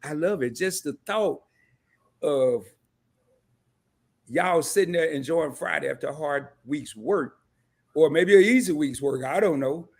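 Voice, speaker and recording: A man hosting a live-streamed interview in a casual, animated way, laughing often and using relaxed slang. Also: on the high side, a little slow, pauses often.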